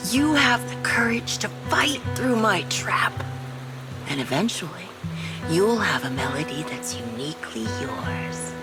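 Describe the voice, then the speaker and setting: A woman playing a bitter, weary ex-soldier villain in a game cutscene, coldly mocking and brooding in a theatrical way. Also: big pitch swings. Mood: hollow, reflective. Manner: slow, brooding, theatrical delivery with breathy low chuckles. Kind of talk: dramatic game cutscene acting. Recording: voice under loud music. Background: loud music.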